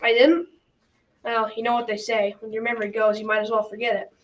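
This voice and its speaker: A woman's voice: a little high, little pitch movement, a little hiss or hum, natural voice. A young girl playing a kindly, folksy old grandfather in a school radio drama. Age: child or teen. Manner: folksy, measured advice-giving. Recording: slightly distant school recording.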